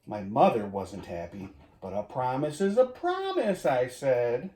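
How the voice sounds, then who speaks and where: Animated man reading a storybook, slow-paced. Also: medium pitch. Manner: expressive, drawn-out emphasis, addressing listeners and dog. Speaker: community education director reading to children. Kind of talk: animated children's storybook read-aloud. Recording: roomy echo.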